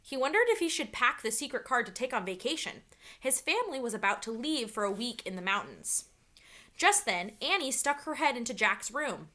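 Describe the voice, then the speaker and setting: A woman reads a children's story aloud in a teacherly, dramatic way. Her voice is bright and fairly high, with very lively intonation. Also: normal speed.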